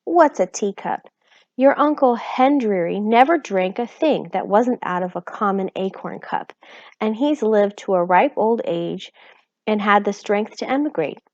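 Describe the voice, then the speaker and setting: A woman's voice: mid-range voice, expressive, unhurried, darkish tone, no room sound. A woman voicing a fretful, tearful little borrower mother with an anxious, quavering way of talking. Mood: fretting. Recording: slightly dull lo-fi recording.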